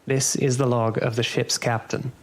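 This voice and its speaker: An actor playing a grave narrator in a gothic audio drama, telling the tale in a solemn, deliberate, dramatic way. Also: male voice, medium pitch, little pitch movement, close to an everyday voice. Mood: solemn, ominous. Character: grave narrator reporting an eerie shipwreck.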